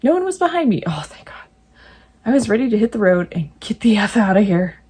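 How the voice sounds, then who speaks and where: A woman reading true ghost stories aloud, breaking in now and then with jokey, animated asides. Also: mid-range voice, a little fast, husky. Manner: animated, jokey asides between read passages.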